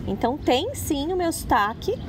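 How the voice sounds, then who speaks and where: A woman's voice: sits high, sing-song, moderate pace. A middle-aged Brazilian woman, an English teacher, talking earnestly in a casual conversation and explaining with examples. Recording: street noise behind.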